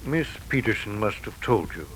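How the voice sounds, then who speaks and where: An actor playing a stern, unyielding judge in a formal, measured manner, in 1940s radio drama style. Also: male voice, fairly low pitch, lively intonation, fairly bright tone. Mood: stern, official. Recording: noisy old 1940s radio recording.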